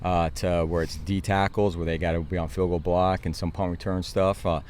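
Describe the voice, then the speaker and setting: A man's voice: low voice, level tone, normal speed, a little mellow, slight background noise. An American man, a football coach, answering interview questions in an earnest, explanatory and informal way.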